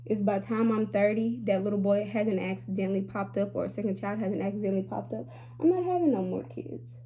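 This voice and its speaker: A woman's voice: mid-range voice, quick pace, warm tone, slight background noise. A woman in her late twenties talking candidly to her viewers in a casual vlog, chatty and sassy, calling them y'all. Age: 20s. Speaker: beauty vlogger.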